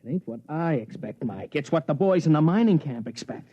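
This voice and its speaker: A man's voice: fairly high pitch, animated, brisk, a little hiss or hum, lightly acted. An actor playing a skeptical, slangy male sidekick to a schemer in a 1950s radio drama. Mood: sardonic. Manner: slangy, sardonic, wisecracking. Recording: dull vintage 1950s radio recording.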